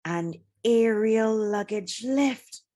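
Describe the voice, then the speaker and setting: A woman reading a children's adventure novel aloud as scripted narration, with expressive, dramatic storytelling. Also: fairly high pitch, very slow. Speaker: storybook reader.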